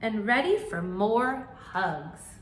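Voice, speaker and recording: A woman's voice: mid-range voice, sing-song, slow, reverberant, slight background noise. A woman voicing a friendly, affectionate bear in a children's storybook. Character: friendly, hug-loving, sleepy big bear.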